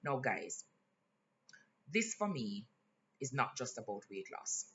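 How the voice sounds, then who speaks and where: A man with a Jamaican accent talking in a casual vlog, earnest and candid, pausing often with fillers. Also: medium pitch, very animated, bright, forceful tone. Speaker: vlogger. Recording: slightly dull lo-fi sound, faint hiss.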